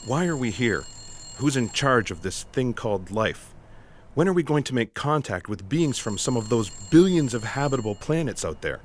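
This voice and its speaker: An actor playing an earnest, literal-minded special agent in a comic audio play, polite, with faint office noise behind. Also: male voice, medium pitch, sing-song, moderate pace, natural voice. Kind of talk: comic sci-fi audio theatre.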